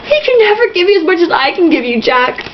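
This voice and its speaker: A hot-tempered, idealistic teenage boy from a storybook, played by a woman, pitched high and swooping. He pleads and accuses loudly. The sound is dull and lo-fi. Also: sing-song, fast talker. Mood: accusing. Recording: dull lo-fi sound, faint noise.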